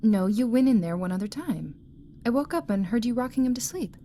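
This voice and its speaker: An actress playing a high-strung young mother in an audio drama, pointed and questioning with her husband. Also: expressive, fairly quick, mellow, slight background noise. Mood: accusing, tense. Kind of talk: naturalistic audio drama acting.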